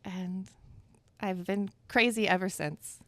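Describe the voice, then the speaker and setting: A woman's voice: medium pitch, steady tone, slow pace, fairly bright tone, dry recording. Candid chatty woman in her thirties. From Florida, USA. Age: 30s. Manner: candid, chatty, laughs easily. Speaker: guest dancer. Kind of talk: live podcast interview. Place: live podcast event in Miami. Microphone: live event microphone.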